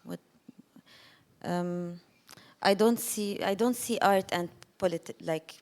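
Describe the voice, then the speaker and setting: A woman's voice: fairly low pitch, slow pace. A woman filmmaker answering off the cuff on a discussion panel.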